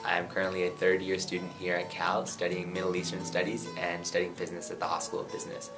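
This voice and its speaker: A young man in his twenties from Pakistan, a student candidate giving an earnest campaign pitch and then answering light interview questions. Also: completely flat delivery, music in the background. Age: 20s. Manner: earnest, rapid and persuasive.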